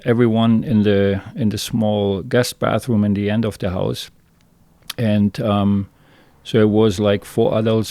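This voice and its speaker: A man from Germany with a southern Bavarian accent, a guest on a podcast telling his story in a halting way, with many fillers and restarts. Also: on the low side, dark tone, no room sound. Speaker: guest, fishing guide. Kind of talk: casual interview storytelling.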